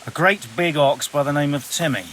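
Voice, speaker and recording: An actor playing a sly, mock-friendly bandit in a video game, insinuating and sarcastic. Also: male voice, on the high side, brisk, a bit bright, slight background noise, lightly acted. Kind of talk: video game cutscene acting.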